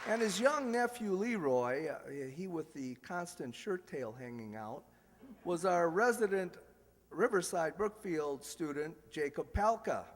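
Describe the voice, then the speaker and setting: Male radio-show announcer, high voice, playful ad-libber, reverberant hall recording. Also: animated, unhurried. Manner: jokey, ad-libbing. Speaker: announcer and host. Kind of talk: live old-time radio show announcing. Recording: echoey hall with background hiss.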